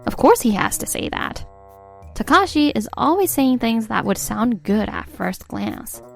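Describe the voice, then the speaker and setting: A woman's voice: a little high, sing-song, measured pace, vocal fry, full character voice. An actress playing a fed-up young wife who narrates her own story in an exasperated, sarcastic way, with faint music behind. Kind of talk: scripted narration.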